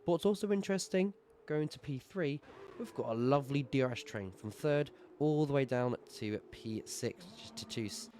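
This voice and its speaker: A man's voice: medium pitch, slight background noise. Sore-throated male race commentator. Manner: brisk play-by-play, gets excited at overtakes. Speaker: sim racing commentator. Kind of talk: live esports race commentary.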